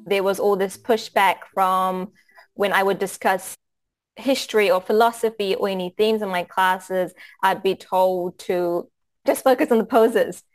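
A woman's voice: medium pitch, steady tone, measured pace. Fiji-raised woman, hesitant and earnest. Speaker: yoga teacher, interviewee. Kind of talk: casual interview talk.

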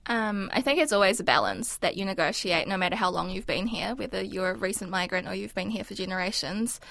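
Kiwi woman in her 20s, grew up speaking mandarin, gravelly fry, lots of ums, chatting in an interview. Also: medium pitch, expressive, quick pace, fairly bright tone, dry recording. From New Zealand. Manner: thoughtful, frequent ums and likes. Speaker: interview guest. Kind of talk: casual reflective interview talk.

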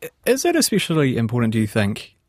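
A man's voice: medium pitch, wildly swooping pitch, quick pace, no echo, clean studio sound. A man with a New Zealand accent interviewing a guest in a conversational way, thinking aloud as he asks. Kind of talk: radio interview.

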